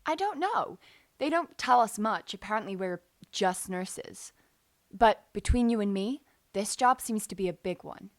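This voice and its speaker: A woman's voice: mid-range voice, animated, measured pace. A woman playing a breezy, joking young American army nurse in an audio drama, with an American accent and playful, slangy talk.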